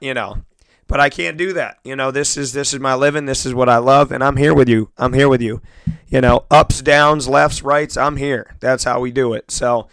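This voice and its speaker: A male sports radio host speaks with a hoarse, scratchy voice that is still clear and close-miked in a dry studio. His manner is chatty and self-deprecating, and he talks straight to his listeners. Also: mid-range voice, fairly bright tone, no echo. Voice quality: scratchy, raspy. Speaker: sports talk show host. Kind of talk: casual sports radio talk.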